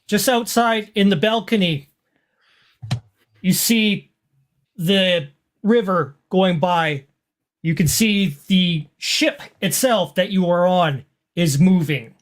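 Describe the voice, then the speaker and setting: A game master narrating a tabletop roleplaying game in a halting, descriptive way. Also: medium pitch.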